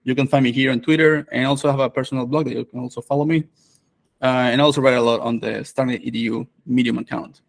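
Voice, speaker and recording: A man, hosting a live online course on a video call, relaxed and full of fillers. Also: medium pitch, fast talker, gravelly. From Venezuela. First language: Spanish. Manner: relaxed, many fillers and restarts. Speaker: developer advocate and course host.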